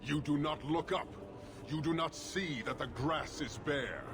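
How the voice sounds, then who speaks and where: An actor playing an imposing, scornful male warrior leader who declaims slowly and gravely. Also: a little high, over music, natural voice. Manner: slow, grave, contemptuous declamation.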